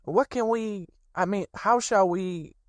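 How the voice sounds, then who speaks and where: A man doing a gentle, hesitant teddy bear. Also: sits high, slow pace, no echo, studio quality, pitched above the performer's own voice. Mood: earnest. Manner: hesitant, fumbling. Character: gentle, simple-minded male teddy bear.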